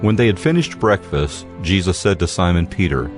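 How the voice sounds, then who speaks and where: A male narrator reads scripture in a measured, solemn manner. His voice sits fairly low, and music plays clearly underneath the reading. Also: expressive. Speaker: Bible narrator.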